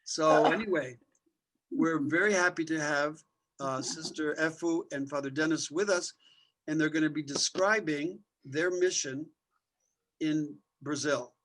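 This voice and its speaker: A man's voice: fairly high pitch, unhurried, a bit bright, rough recording. A man, a missionary priest, who co-hosts the show and introduces the guests in a genial, joking way. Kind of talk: casual interview.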